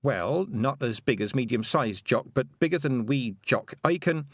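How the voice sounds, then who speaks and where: A man's voice: medium pitch, expressive, moderate pace, no echo, studio quality, pitched above the performer's own voice, close to an everyday voice. A man voicing a practical young girl who speaks carefully and a little hesitantly.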